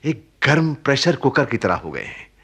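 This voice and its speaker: A man's voice: medium pitch, big pitch swings, moderate pace, fairly bright tone, husky, full character voice. An actor in a radio play voicing a concerned, philosophical man who soothes and explains while caring for an injured stranger. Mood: reflective, earnest. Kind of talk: Hindi radio play acting.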